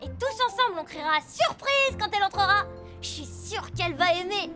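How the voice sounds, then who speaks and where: A woman's voice: very high voice, expressive, moderate pace. A woman voicing a sweet, eager small child in a children's cartoon. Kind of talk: French-language cartoon dub. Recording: children's music behind the voice.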